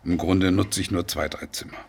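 A man's voice: sits low, fairly even intonation, moderate pace, gravelly, darkish tone, slight background noise. An actor in his sixties playing a kindly, flustered widowed pensioner who gets defensive, in a naturalistic radio drama. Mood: friendly, slightly flustered. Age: 60s.

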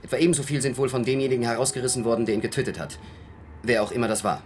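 An actor playing a frank young American army lieutenant in a radio drama, deferential and matter-of-fact. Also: male voice, mid-range voice, steady tone, racing, a little hiss or hum, natural voice. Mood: dutiful reporting. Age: young adult.

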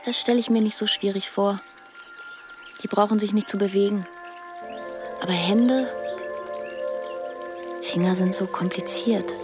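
A woman's voice: mid-range voice, fairly even intonation, unhurried, pauses often, close to an everyday voice. Wistful young girl played by a woman, music behind. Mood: wistful, eager. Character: wistful, determined young Afghan refugee girl. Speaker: actor in a radio drama. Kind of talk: radio play dialogue.

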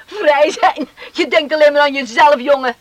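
An actress playing a stern, possessive family matriarch who scolds and commands, in a Dutch radio play. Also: female voice, sits high, normal speed, no echo, close to an everyday voice. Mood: reproachful.

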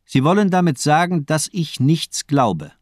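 An actor playing a cold, cynical doctor villain in a radio crime drama, speaking eloquently with mocking elegance. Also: male voice, a little high, very animated, no echo, clean studio sound. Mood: mocking curiosity. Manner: eloquent, playful, mocking, deliberate monologuing.

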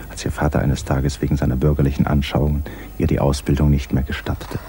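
A man narrating a literary radio drama in a measured, composed storytelling manner. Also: extremely low pitch, mellow. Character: calm omniscient male narrator of a literary tale.

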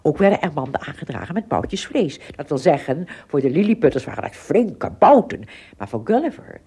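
A woman narrating a scripted children's story expressively, with dramatic pauses and little asides. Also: deep voice, sing-song, warm tone, breathy edge.

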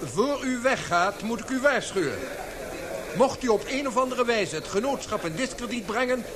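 A man's voice: very high voice, animated, moderate pace, a crowd in the background. An actor playing a stern chairman of a learned society in a radio play, formal and admonishing. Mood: stern, threatening. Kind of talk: radio drama acting.

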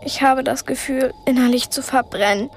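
A woman's voice: sits high, fairly even intonation, normal speed, close to an everyday voice. An actress playing a young girl heroine who narrates her inner thoughts in a radio drama with breathless intensity. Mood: anxious dread.

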